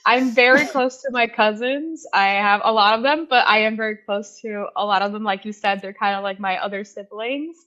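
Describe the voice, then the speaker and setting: A woman in her twenties from Puerto Rico, a PhD student, answering interview questions in a soft, easygoing way with frequent fillers. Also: medium pitch, fairly even intonation, moderate pace, hardly any pauses, muffled recording. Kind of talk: podcast interview.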